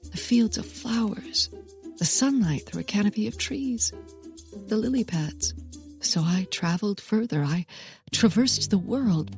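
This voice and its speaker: An actress playing an intense woman botanist confessing a forbidden love of plants in a comedic audio drama, theatrical, with dramatic pauses and hushed emphasis. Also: medium pitch, close to an everyday voice. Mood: grimly determined. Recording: prominent music under the voice.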